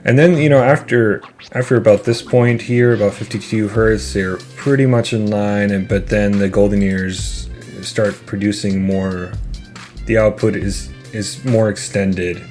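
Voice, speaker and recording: A man giving a casual, unscripted gear review as channel host, halting and rambling, often repeating his words. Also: lowish voice, a little mellow. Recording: roomy echo, distant mic, faint music.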